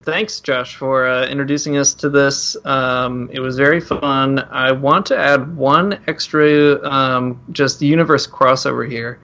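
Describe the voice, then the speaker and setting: A man's voice: mid-range voice, steady tone, measured pace, hardly any pauses, bright, forceful tone. A man hosting a podcast, chatting casually and jokingly about movies over a slightly dull, lo-fi recording. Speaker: podcast host. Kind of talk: casual joking film chat.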